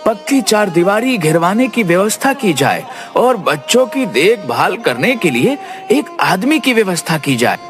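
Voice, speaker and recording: A man's voice: high voice, big pitch swings, a little slow, over music. A man voicing a humble village priest who asks a king for help in a cartoon story. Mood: imploring. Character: kindly village priest caring for orphans.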